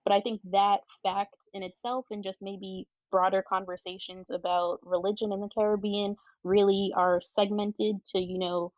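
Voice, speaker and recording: A woman's voice: mid-range voice, flat delivery, moderate pace, hardly any pauses, no room sound. Friendly woman podcast host, dry dull audio. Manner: friendly, conversational, casual fillers. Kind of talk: podcast hosting. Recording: dry, slightly dull audio with faint music.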